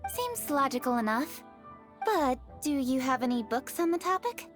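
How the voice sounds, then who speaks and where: A poised young noblewoman with a high voice and formal, businesslike delivery. It is anime video game dialogue with light music behind. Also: very animated, moderate pace.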